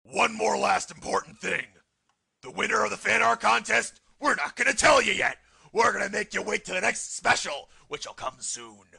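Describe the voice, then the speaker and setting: Gravelly, barking exam-proctor bully. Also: male voice, high-pitched, animated, normal speed, pushed and bright, exaggerated voice. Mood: gloating, menacing. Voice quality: gravelly, raspy. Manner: barking, theatrical, sarcastic. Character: menacing scarred male exam proctor, loud drill-sergeant bully. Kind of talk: comic anime parody dub acting.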